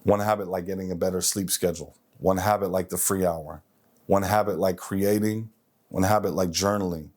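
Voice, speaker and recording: A man's voice: deep voice, steady tone, moderate pace, darkish tone. A man of forty hosting a self-improvement show, speaking emphatically in a motivational monologue and repeating phrases for effect.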